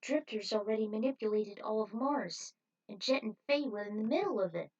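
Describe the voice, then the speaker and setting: A woman's voice: mid-range voice, brisk, a little mellow, recorded from a distance, lo-fi sound. A woman reading fan fiction as an amateur narrator.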